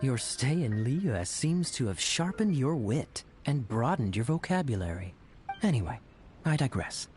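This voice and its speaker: A man voicing a suave, teasing young knight in an anime video game, smooth and slyly amused. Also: mid-range voice, very animated, darkish tone, faint music behind. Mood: sly, confiding. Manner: smooth, amused, sly, drawn-out words. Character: Kaya, suave, teasing young cavalry knight with sly charm.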